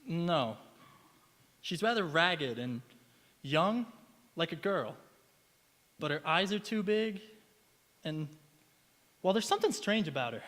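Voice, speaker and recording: A man's voice: a little high, very animated, takes it slowly, pauses often, a bit bright, heavily acted. A man acting an earnest, flustered young student in a stage radio play, polite and a little stammering. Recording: echoey hall with long reverb.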